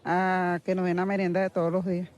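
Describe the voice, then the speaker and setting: A Venezuelan woman answering a street interview outdoors, speaking softly and earnestly. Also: on the low side, level tone, brisk, a crowd in the background. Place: outdoors at a border crossing.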